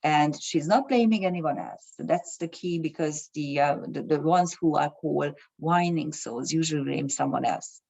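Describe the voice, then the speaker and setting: A woman's voice: low-pitched, moderate pace, vocal fry, few pauses, a little mellow, breathy edge. A woman, an astrologer explaining ideas to her viewers in a hesitant way, with many fillers and restarts. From Germany. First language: Hungarian. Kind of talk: casual talk to camera.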